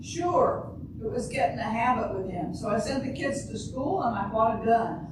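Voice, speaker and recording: A woman's voice: fairly high pitch, expressive, moderate pace, lightly acted. An older woman in an amateur readers' theater playing a naive, blunt, literal-minded housewife and mother. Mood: earnest recounting. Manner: literal-minded, plain, ungrammatical phrasing. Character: naive, blunt housewife and mother, matter-of-fact about shooting husband. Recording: distant, very echoey, noisy room.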